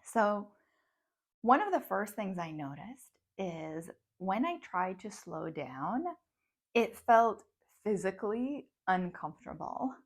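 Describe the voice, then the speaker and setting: Woman in her thirties, psychologist and coach, first language Russian; reflective talk to camera, quick laughs between thoughts. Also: mid-range voice, big pitch swings. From grew up in the United States from age ten, born abroad.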